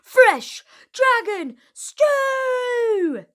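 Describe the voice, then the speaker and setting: Woman playing rowdy storybook Viking crew, high pitch, slow stretched words, loud and brash. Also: very animated, full character voice. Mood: shouting, eager. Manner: loud, stretched, sing-out delivery. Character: rowdy chorus-like Viking crew, bored and hard to impress.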